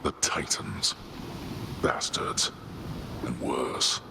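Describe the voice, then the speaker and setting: An actor playing a stern, bitter old god of the dead and father in a video game, speaking gravely and haltingly with a commanding manner. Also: rumbling low, background noise, heavily acted.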